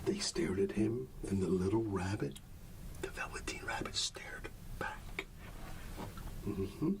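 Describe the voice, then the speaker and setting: A man softly reading a bedtime story in an ASMR style, soothing and often dropping into a whisper. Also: lowish voice, lively intonation, slow, distant mic, low-quality recording. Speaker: ASMR storyteller playing a dad.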